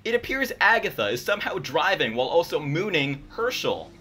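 A man playing an excitable, jovial sports commentator in a comedic radio play, with an animated announcer delivery. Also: fairly high pitch, a little fast, slight background noise. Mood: excited.

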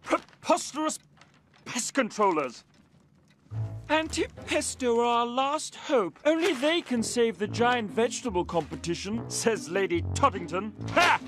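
An actor playing a pompous, scheming aristocratic hunter with an upper-class English accent in an animated game. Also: male voice, very high voice, measured pace, soft background music, exaggerated voice. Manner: pompous, sneering, theatrical. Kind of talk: animated game cutscene acting.

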